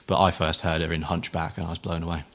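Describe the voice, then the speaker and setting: A man on a musical theatre production team, answering interview questions in a measured, thoughtful way. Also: sits low, flat delivery.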